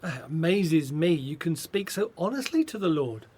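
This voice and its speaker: An actor playing an anxious but loyal Hebrew brother in a Bible radio drama, speaking earnestly and hesitantly. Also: male voice, a little high, sing-song, moderate pace, darkish tone, husky. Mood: troubled, doubtful.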